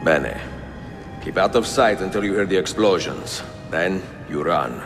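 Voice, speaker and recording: An actor playing a self-assured, wry master assassin with an Italian accent in a game cutscene, terse and dry. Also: male voice, deep voice, expressive, measured pace. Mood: resolute. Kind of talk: video game cutscene acting. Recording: loud music behind the voice.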